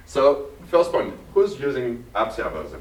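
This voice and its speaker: A man with a strong French accent giving a technical conference talk, pausing often on "uh". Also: mid-range voice, very animated. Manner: hesitant, many "uh" fillers. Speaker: conference presenter. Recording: echoey hall, mic from a distance.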